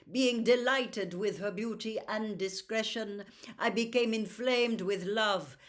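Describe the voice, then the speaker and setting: A woman's voice: mid-range voice, lightly acted. A woman voicing a proud, cool young noble girl in a storybook, speaking in a formal, lofty way.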